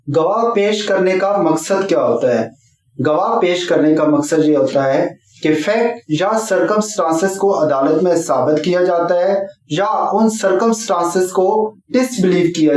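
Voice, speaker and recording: Male law lecturer, Pakistani accent, high voice, formal instructive delivery, echoey room. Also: a little mellow. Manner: formal, instructive, mixes in English legal terms. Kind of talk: explanatory legal lecture.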